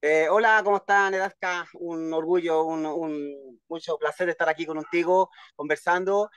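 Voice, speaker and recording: A man's voice: fairly high pitch, fairly even intonation, normal speed, a bit bright, clean tone, dry recording. A man in his fifties with a Chilean accent, telling stories casually as an interview guest, often repeating words. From Valparaíso, Chile. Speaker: guest, poet. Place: at home, video call.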